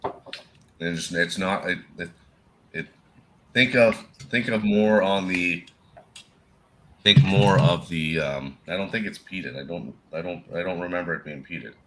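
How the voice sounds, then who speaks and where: A man with a Minnesota accent hosting a casual live whiskey chat, rambling and laughing, clearing a frog from his throat. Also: sits low, measured pace, pauses often, fairly bright tone, a little hiss or hum. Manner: laid-back, rambling, laughs often. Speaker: whiskey show host.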